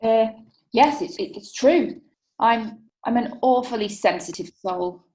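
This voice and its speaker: A woman's voice: fairly high pitch, unhurried, a little mellow, lightly acted. An actress playing a coy, flustered witness who stammers and hesitates as she testifies. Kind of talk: amateur radio drama. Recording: echoey room.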